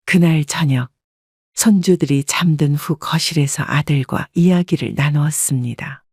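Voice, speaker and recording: A woman calmly reading a scripted first-person family story as narrator. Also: low voice, dry recording, studio quality.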